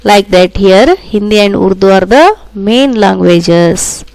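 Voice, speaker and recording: A woman's voice: mid-range voice, slow pace, darkish tone, no echo. A woman with a South Indian accent, a native Kannada speaker, teaching young schoolchildren in a repetitive, instructive way and often addressing them directly. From Karnataka, India. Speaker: primary school social studies teacher. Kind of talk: school lesson for children.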